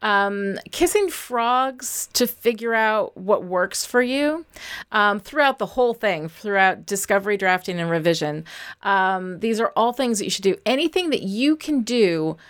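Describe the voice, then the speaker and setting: A woman's voice: medium pitch, animated, unhurried, clear, resonant tone. A woman giving informal, encouraging, explanatory advice in a casual instructional chat, as a coach. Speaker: writing coach and guest co-host.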